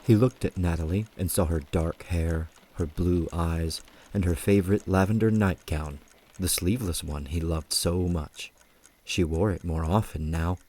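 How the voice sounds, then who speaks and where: A man narrating a scripted story for an audio drama, with a husky voice and a measured, gentle storytelling manner. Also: low-pitched, steady tone.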